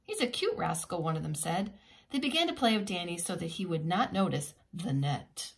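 A woman preschool teacher reading a children's picture book aloud in an expressive, teacherly way with simple asides. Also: on the low side, moderate pace.

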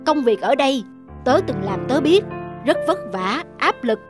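A man's voice: extremely high pitch, lively intonation, a little slow, music in the background. A man voicing a pushy, money-minded businessman in a cartoon, insistent and exasperated. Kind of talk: cartoon dub.

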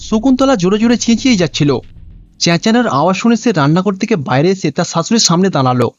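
Casting: male cartoon story narrator, high pitch, lively melodic read, lo-fi track. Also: very fast.

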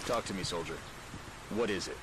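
An actor playing a calm male military commander giving orders over the radio in a game, in a clipped, steady manner. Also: low voice, brisk, very noisy, natural voice. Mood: commanding. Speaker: mission commander.

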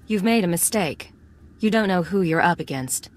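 An actress playing a cool, confident undercover agent in a video game, with a controlled, terse delivery. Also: female voice, fairly low pitch, lively intonation, normal speed, lightly acted. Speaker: game character voice actor. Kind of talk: video game cutscene acting.

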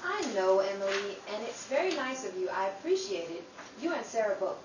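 A woman's voice: mid-range voice, sing-song, a little fast, natural voice. A woman playing an earnest, grateful youngest sister in an old time radio drama about the Depression. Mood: anxious, fond. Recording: distant, echoey room with clear background noise.

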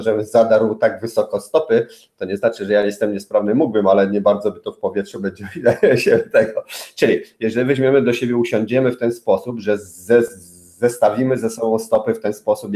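Older guy talking into a webcam in a small room, slow and gentle, coaxing, lowish voice. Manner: slow, deliberate explaining with many pauses; gentle, coaxing, uses diminutives. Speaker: spinal therapist. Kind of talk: webinar talk to viewers. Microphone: webcam at desk.

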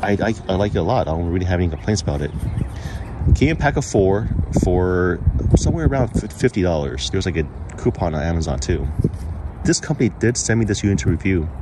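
Low-voiced man in noisy outdoor recording. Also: expressive, quick pace. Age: adult parent of young children. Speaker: product reviewer and channel host. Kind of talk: casual hands-on product review. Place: outdoors, patio table and residential sidewalk. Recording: clearly noisy outdoor recording, traffic hum.

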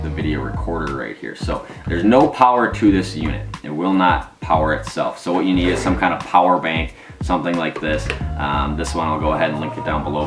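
A man in his thirties giving a casual, informal explanation to camera as a video host. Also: deep voice. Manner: informal, conversational. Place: small domestic room at a table, indoors. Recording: roomy echo, music behind.

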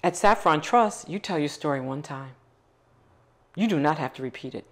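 A woman's voice: sits low, expressive, moderate pace. A middle-aged woman, a foundation CEO, speaking passionately and emphatically in an interview on a couch with a lapel mic. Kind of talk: interview conversation. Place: living room set on a couch.

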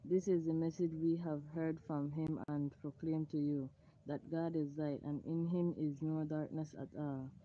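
A woman reading scripture aloud formally, in a measured, plain way. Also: deep voice, little pitch movement, mellow, dull audio, a little hiss or hum. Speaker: scripture reader.

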